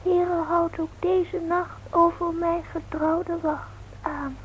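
A woman's voice: very high voice, little pitch movement, slow, slight background noise, low-quality recording. A woman playing a sleepy small girl at bedtime in a radio drama. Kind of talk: Dutch radio play acting.

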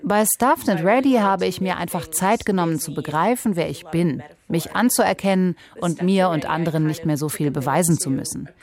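Slightly low female voice-over reader. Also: moderate pace, hardly any pauses, a little mellow. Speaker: voice-over translator of interview. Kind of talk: scripted voice-over reading.

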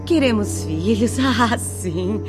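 An actress playing a vain, fussy young newlywed wife in a radio drama. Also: female voice, mid-range voice, animated, slow, over music. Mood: dismissive, gushing.

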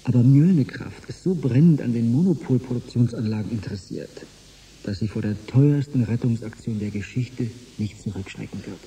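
An actor playing a calm, reassuring scholar aboard a spaceship in a German radio drama, speaking in a measured way. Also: male voice, mid-range voice, slightly rough, low-quality recording. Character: calm, reassuring male historian-physicist on a spaceship.

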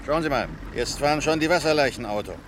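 A brisk, wry male senior detective played by an actor in a radio drama. His voice is on the higher side and full of mockery. Clear vehicle noise sits behind him outdoors. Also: close to an everyday voice. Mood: sardonic. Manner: mocking, wry. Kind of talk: German radio drama acting.

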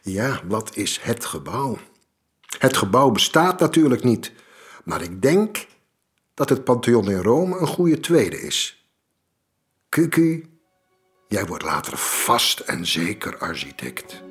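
An actor playing a kindly, musing old professor in a radio drama. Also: male voice, fairly low pitch, sing-song, slow pace, long pauses, a bit bright, soft background music, full character voice. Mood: amused, musing. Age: older.

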